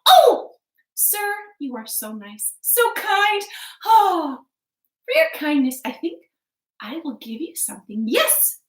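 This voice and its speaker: Storybook fairy, tiny, excitable and shrill, very high swooping voice, roomy sound. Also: female voice, slow, full character voice. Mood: grateful, gushing. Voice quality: squeaky, shrill. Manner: exclaiming, theatrical. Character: teeny tiny female fairy, pleading, grateful, excitable. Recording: echoey living room.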